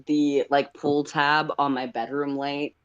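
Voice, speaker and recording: A woman co-hosting a livestream, reading fan fiction and chatting in an expressive, playful way. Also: low voice, takes it slowly, smooth, no room sound, dull audio. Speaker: co-host and story reader. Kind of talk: fan fiction narration and casual livestream chat.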